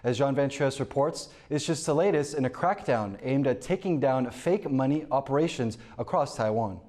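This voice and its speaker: A man anchoring a television newscast, reading the headlines in a measured, even way from a studio. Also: medium pitch. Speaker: news anchor. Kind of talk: scripted news reading.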